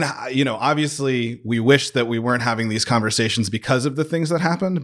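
A man's voice: medium pitch, a bit bright. A man giving conversational interview answers as a guild representative, explaining earnestly and often interrupting himself mid-thought.

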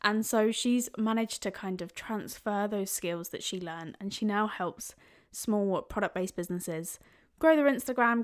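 A woman hosting a podcast, bubbly and chatty, who swears casually while she introduces a guest and interviews her. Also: medium pitch. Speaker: podcast host.

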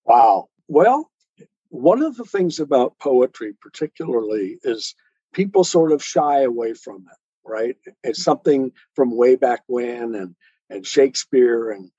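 Husky, mellow man talking on a podcast. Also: mid-range voice, animated, a little slow, dry recording. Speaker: guest author and poet. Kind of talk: reflective podcast interview talk. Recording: dull, muffled lo-fi audio.

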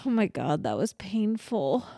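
A woman's voice: medium pitch, sing-song, unhurried, dark tone, no echo, clean studio sound. A woman co-hosting a casual podcast, talking bluntly with plenty of swearing and laughter. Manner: blunt, profane, laughs often. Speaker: podcast co-host.

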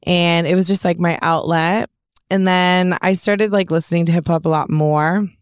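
A young woman with a Canadian accent and a raspy voice, chatting casually as an interview guest in a studio. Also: on the low side, steady tone, moderate pace, no echo. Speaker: interview guest, rapper and songwriter. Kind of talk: casual chat.

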